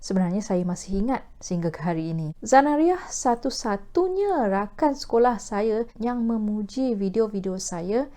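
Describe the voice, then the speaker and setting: Casting: Malaysian female host, soft mellow tone, formal and measured newsreader delivery to camera. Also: medium pitch, lively intonation. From Perak, Malaysia. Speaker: host of a talk video series. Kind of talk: formal scripted presentation to camera.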